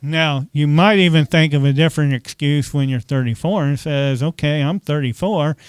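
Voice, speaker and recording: A man's voice: a little high, moderate pace, smooth, no echo. A man preaching a conversational sermon as pastor, often asking the congregation questions and saying "okay" between points.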